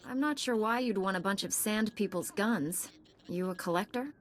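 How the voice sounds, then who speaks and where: Actress as a composed warrior-monk woman, medium pitch. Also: natural voice. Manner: measured, assured. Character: composed, dutiful female Jedi knight. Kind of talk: video game voice acting.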